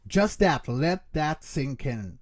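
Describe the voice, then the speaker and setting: A man's voice: fairly high pitch, very animated, takes it slowly. Middle-aged man explaining his craft emphatically. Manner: earnest, emphatic, checks in with "right?". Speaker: interview guest, creature voice actor and vocal coach. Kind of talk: explanatory interview talk.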